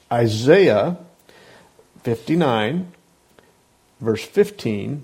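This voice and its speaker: A man leading a Bible class into a microphone, talking hesitantly with many restarts and fillers and clearing his throat often. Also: medium pitch, warm tone. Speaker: Bible teacher. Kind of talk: informal Bible class talk. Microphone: microphone on a sound system.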